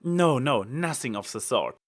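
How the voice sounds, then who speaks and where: A man voicing a theatrical, flattering female unicorn showoff in a story reading. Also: medium pitch, measured pace. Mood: forced cheer. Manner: theatrical, exaggerated.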